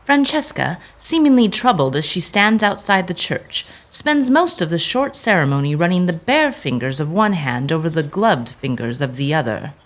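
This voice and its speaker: A woman narrator reading a scripted dramatic tale with deliberate, suspenseful phrasing. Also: on the low side, big pitch swings.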